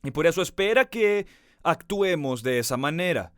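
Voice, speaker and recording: A man preaching a sermon as pastor. He speaks earnestly, talks straight to the listener and slows down to stress key words. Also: on the high side, animated, no room sound, clean studio sound. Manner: addresses the listener directly.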